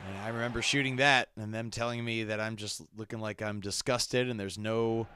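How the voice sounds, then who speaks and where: A man hosting casual reaction commentary on game scenes he acted in, playful and expressive. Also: on the low side, fairly quick, slight background noise. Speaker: host, game voice actor reacting to own scenes.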